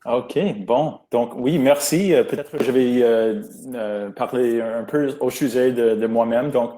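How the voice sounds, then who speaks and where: A man whose first language is English, a pulmonologist giving a webinar lecture, pausing often with fillers as he searches for words, speaking over a video call. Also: medium pitch, warm tone.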